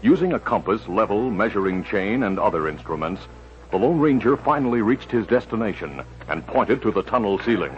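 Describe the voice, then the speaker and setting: A man's voice: fairly low pitch, expressive, brisk. A man reading scripted narration for a 1950s radio drama. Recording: dull old radio recording, music behind.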